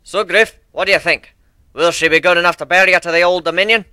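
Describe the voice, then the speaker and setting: A man's voice: high voice, quick pace, pushed and bright, clear, resonant tone, no echo, clean studio sound, voice pushed up. A man voicing a proud, sentimental old minotaur shipbuilder in an amateur dramatic story reading. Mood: proud, eager.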